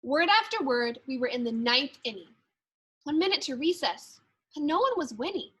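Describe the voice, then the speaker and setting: Female primary teacher for storybook read-aloud: high-pitched, bright, expressive, deliberate with dramatic emphasis. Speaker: second grade teacher. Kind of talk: expressive children's picture-book read-aloud.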